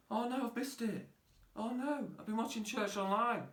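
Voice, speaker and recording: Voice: mid-range voice, moderate pace, echoey room, heavy background noise, lightly acted. Jacob, a flustered boy puppet done by a performer. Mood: dismayed. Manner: gasping and flustered. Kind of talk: children's church puppet segment.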